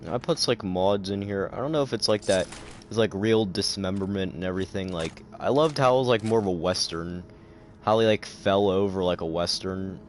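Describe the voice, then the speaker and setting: A tired male streamer with a very deep, low voice, rambling along with many fillers and a dry throat. Faint noise sits behind him. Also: moderate pace. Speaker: livestreamer. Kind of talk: casual livestream chat.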